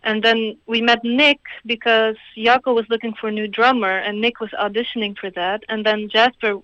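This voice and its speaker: A woman's voice: a little high, level tone, normal speed, fairly bright tone, no echo, dull audio. A woman from Croatia, a singer-songwriter answering interview questions in a hesitant, thoughtful way with small laughs. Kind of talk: radio interview guest.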